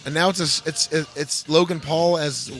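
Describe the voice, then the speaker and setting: A man hosting a comedy podcast, bantering casually in an excitable, riffing way. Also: on the high side, moderate pace, music in the background, rough recording. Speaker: movie podcast host.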